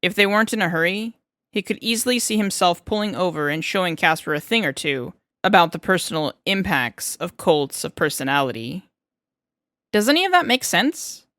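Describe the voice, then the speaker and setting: A woman's voice: fairly low pitch, a little fast, fairly bright tone, no room sound, clean studio sound. A woman reading scripted fiction as an audiobook narrator.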